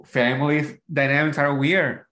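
A man's voice: on the high side, flat delivery, normal speed, sharp tone. A man co-hosting a casual, conversational recap chat about television. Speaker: podcast co-host. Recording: roomy, echoing voice recording.

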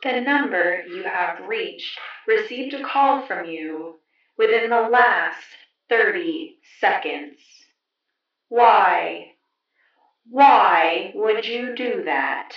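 Woman acting automated voicemail robot, stilted, cryptic, chiding, very slow, dull far-off sound, audio drama. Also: mid-range voice, slight background noise. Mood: eerie, scolding. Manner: deliberate, stilted, cryptically scolding. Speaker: voicemail system voice. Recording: cavernous echo, distant, dull phone-line sound.

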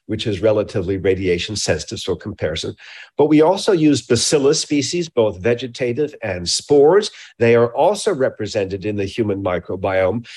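A man's voice: medium pitch, a little mellow, slightly rough. A man speaking as an expert scientist in an interview, explaining technical matters in a precise, emphatic way.

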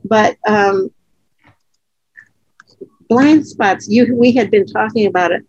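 A woman co-hosting a podcast, chatting frankly and laughing often, her voice low from allergies. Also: slow pace, a little mellow, slightly rough, no echo, highs cut off. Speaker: podcast co-host. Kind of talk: casual conversational podcast.

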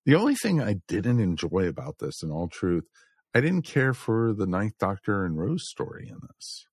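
Deep-voiced man chatting on a panel. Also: wildly swooping pitch, normal speed, darkish tone, slightly rough, no echo, clean studio sound. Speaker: podcast panelist reviewing comics. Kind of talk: casual chat.

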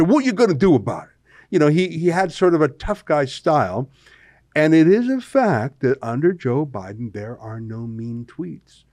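A man's voice: on the high side, a little mellow, no room sound, clean studio sound. A Canadian man hosting an opinionated political talk show, speaking emphatically with colourful, dramatic phrasing. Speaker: host of a political commentary show.

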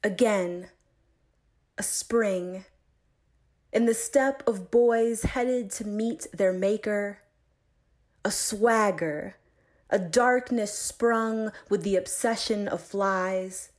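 A woman poet reading her own work aloud in a measured, deliberate recitation, phrase by phrase with pauses. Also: mid-range voice, a little mellow.

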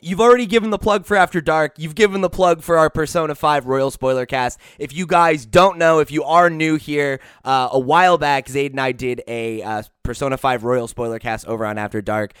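A man's voice: fairly high pitch, fairly even intonation, brisk, hardly any pauses, a bit bright, clear, resonant tone, no room sound, studio quality. A man hosting a video game podcast, upbeat and playful, chatting casually with lots of "you know" and "right". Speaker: podcast host.

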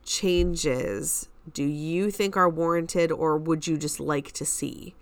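A woman's voice: deep voice, takes it slowly, no room sound. A woman co-hosting a theater podcast, chatting and bantering casually.

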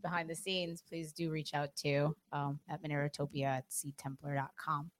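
A woman's voice: sits low, little pitch movement, moderate pace. A woman co-hosting a podcast in a casual, conversational way. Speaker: podcast co-host. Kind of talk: casual co-host chat.

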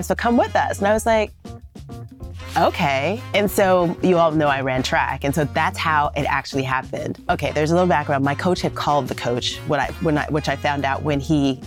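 Upbeat woman podcast host, low voice. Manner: upbeat, animated storytelling. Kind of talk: podcast interview. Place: Atlanta.

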